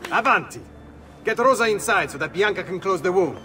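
An actor playing a suave, commanding Italian leader with an Italian accent in a video game. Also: male voice, high voice, lively intonation, a little fast, sharp tone, a little hiss or hum. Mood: commanding, urgent. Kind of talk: video game cutscene acting.

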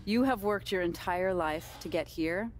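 An actress playing a polished, persuasive middle-aged sports agent delivering a smooth pitch in a video game. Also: female voice, unhurried, slight background noise, lightly acted.

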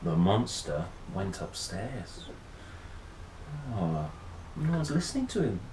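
A man hosts a storytime reading in a playful, chatty way. His pitch moves widely and he takes his time, with dramatic pauses. The recording is roomy, like a small wooden room. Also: sing-song. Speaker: storyteller and host. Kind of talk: picture-book reading with chatty asides. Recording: roomy echo, faint background noise.

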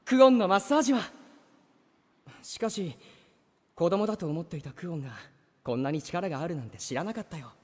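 An actor playing an excitable, doting young man in a theatrical Japanese anime-style audio drama. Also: high-pitched, fairly quick, long pauses, in a large hall. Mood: relieved, reassuring. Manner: theatrical, stammering when flustered. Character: excitable, melodramatic young man, doting older-brother type.

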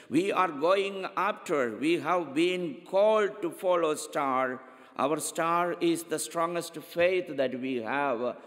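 A middle-aged man preaching a homily as a priest from a church pulpit, speaking deliberately and often repeating his phrases. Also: on the high side. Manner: slow, deliberate preaching with frequent repetitions. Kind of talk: sermon. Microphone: pulpit microphone, far camera. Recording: long church reverb.

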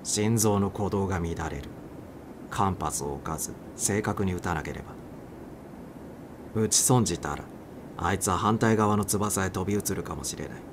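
A man's voice: deep voice, measured pace, background noise. A man reading a suspense short story aloud as a dramatic audiobook narrator.

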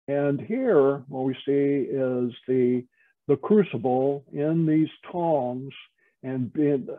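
A man giving an informal, explanatory slide presentation as an instructor, halting and often repeating words. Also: mid-range voice, dry recording. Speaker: metalworking studio lead, instructor. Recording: tinny, narrow phone-like call audio.

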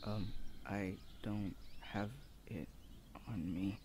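A man's voice: lowish voice, steady tone, very slow, background noise. A man playing a shy, flustered young male university student in an ASMR roleplay, stammering and speaking in a hesitant, awkward, earnest way. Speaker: voice actor. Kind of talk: ASMR boyfriend audio roleplay.

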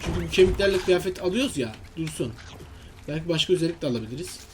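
A man's voice: on the high side, animated, racing, noisy background. A man giving casual, exclamatory commentary on a video game, talking to himself and to his viewers. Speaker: gaming video host.

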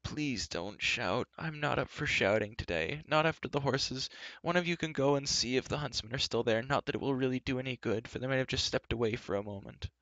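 A man's voice: mid-range voice, fairly quick, a bit bright, dry recording, lightly acted. A man voicing a gloomy, nervous fair-folk creature in a storybook reading, moaning, dithering and full of self-pity. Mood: feeble, complaining.

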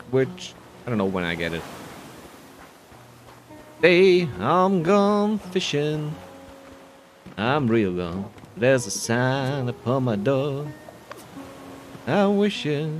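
A man narrates a video game playthrough in a casual, playful way, musing to himself. The delivery is slow and unhurried but swings widely in pitch, over quiet background music. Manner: playful, self-talking, drawn-out musing.

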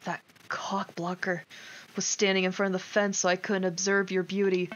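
A woman giving casual, expressive commentary as she streams a video game, gushing and talking in slangy, sweary language. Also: mid-range voice, normal speed, highs cut off, a little hiss or hum. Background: game music.

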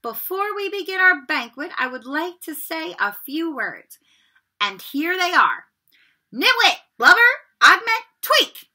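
A whimsical old wizard in his later years, slow and grand, stretching each word as if it were a feast announcement, high and loud. A woman reading aloud gives him voice. He beams through every line. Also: very animated, full character voice. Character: whimsical elderly male wizard headmaster.

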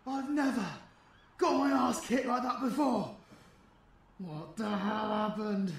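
A man's voice: high-pitched, takes it slowly, echoey room. A British man playing a foul-mouthed, fiercely protective fighter in an audio roleplay, blunt and emotional. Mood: pained, frightened.

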